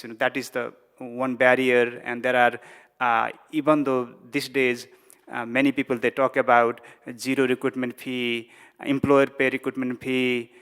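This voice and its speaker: A man from Nepal speaking English with a Nepali accent as a panelist, halting, with many restarts and fillers. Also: mid-range voice, a bit bright, smooth. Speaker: panelist, migrant worker advocate. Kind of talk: panel discussion. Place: large conference hall. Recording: reverberant conference hall.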